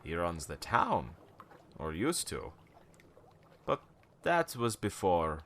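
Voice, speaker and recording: A man's voice: low voice, sing-song, takes it slowly, fairly bright tone, heavily acted. An actor playing a wary, cynical old townsman who tells cryptic stories in a radio play, in a theatrical, rambling way. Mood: ominous. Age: older. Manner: cryptic, theatrical, rambling storytelling with knowing chuckles. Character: wary, cynical old male townsman, former shopkeeper, storyteller.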